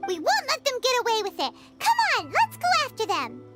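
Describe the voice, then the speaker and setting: A woman's voice: wildly swooping pitch, brisk, exaggerated voice. A woman voicing a small, perky, bossy fairy-like companion in a video game, with a childish, exclamatory delivery. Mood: alert, eager. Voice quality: squeaky, childlike. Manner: cutesy, exclamatory. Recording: game music behind the voice.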